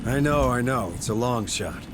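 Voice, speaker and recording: A man playing a gruff marine sergeant and desperate father in a video game, blunt, commanding and gravelly. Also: medium pitch, animated, normal speed, background noise, close to an everyday voice. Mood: grim resolve. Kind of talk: dramatic video game acting.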